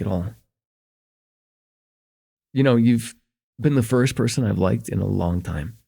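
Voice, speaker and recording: Man acting a smitten, husky young guy, halting and nervous. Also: lowish voice, darkish tone. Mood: sincere, wistful. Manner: halting, stammering, nervous, conversational. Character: shy, smitten young man confessing a crush, teasing, tender. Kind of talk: slice-of-life ASMR roleplay.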